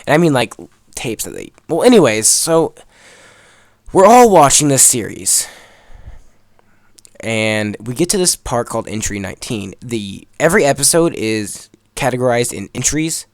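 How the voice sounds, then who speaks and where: A man's voice: medium pitch, very animated, normal speed, no room sound, clean studio sound. A young man telling a story in a casual, rambling, emphatic way, swearing freely as he goes. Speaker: video creator telling a story over gameplay.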